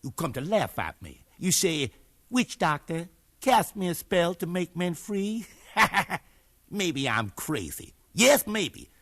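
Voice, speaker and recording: An actor playing a sly, eerie slave conjurer, chanting and teasing in 1950s radio drama style. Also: male voice, a little high, sing-song, takes it slowly, a bit bright, heavily acted. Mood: mocking, suspicious. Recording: remastered 1950s radio broadcast.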